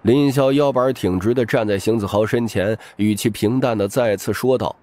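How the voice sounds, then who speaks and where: A man's voice: lowish voice, normal speed, a little mellow, no echo. A man narrating a novel as a dramatic, scripted audiobook.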